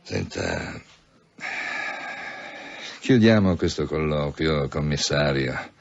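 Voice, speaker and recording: Deep-voiced, condescending police chief. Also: male voice, wildly swooping pitch, vocal fry, slightly rough, heavily acted. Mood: pompous, persuasive. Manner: mock-polite, pompous, deliberate phrasing. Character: smooth-talking, condescending, veiledly menacing senior male police official. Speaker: actor. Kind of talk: Italian radio drama acting. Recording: dull, muffled radio drama recording.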